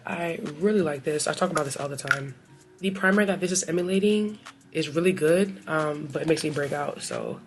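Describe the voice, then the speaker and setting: A woman's voice: low-pitched, a little fast, soft background music. An American woman with a cold chatting casually and playfully in slang, with self-deprecating asides, like a beauty vlogger getting ready. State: has a cold. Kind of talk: casual get-ready-with-me chat.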